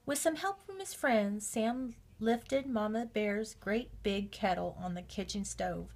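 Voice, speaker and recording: A woman reading a children's storybook aloud with measured, expressive narration. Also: medium pitch. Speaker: storybook reader.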